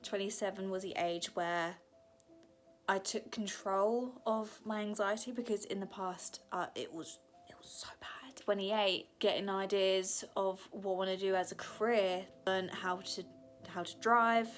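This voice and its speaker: A woman in her thirties giving a candid, chatty reflective vlog, sometimes breaking into excited laughter. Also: medium pitch, unhurried, soft background music. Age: 30s. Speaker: vlogger.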